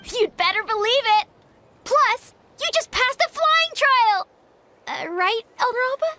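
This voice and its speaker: A woman voicing an excitable, pushy young girl in a video game, exclamatory and insistent. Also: extremely high pitch, measured pace, slight background noise, exaggerated voice. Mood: excited.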